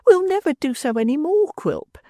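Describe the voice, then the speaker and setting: A man's voice: extremely high pitch, very animated, moderate pace, warm tone, no echo, clean studio sound. A man voicing a timid, tearful little wife in an audiobook, pleading between sobs. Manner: pleading and sobbing. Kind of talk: audiobook character voice.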